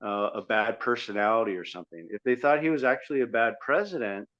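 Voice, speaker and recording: A man's voice: fairly low pitch, fast, fairly bright tone. A man co-hosting a podcast, giving argumentative, critical political commentary in a conversational style. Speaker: podcast co-host. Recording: dull lo-fi audio.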